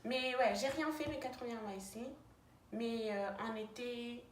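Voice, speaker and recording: Chatty young woman, high voice, echoey room. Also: a little slow, a little hiss or hum. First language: neither French nor English. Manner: chatty, many fillers and restarts. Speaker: roommate answering questions. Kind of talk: casual chat.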